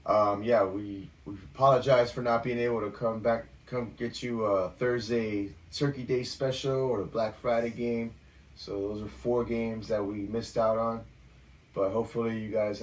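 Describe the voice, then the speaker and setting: A fairly low-voiced man hosts a casual football podcast. He runs through stats and matchups in an easygoing, loose way. His voice sits a bit back from the mic. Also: moderate pace, distant mic, poor audio. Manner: relaxed, talks through stats with fillers. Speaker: podcast co-host. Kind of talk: casual sports podcast chat.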